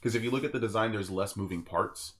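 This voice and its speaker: Low-voiced man talking opinionatedly. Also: fast. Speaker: podcast host and artist. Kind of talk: casual group podcast discussion.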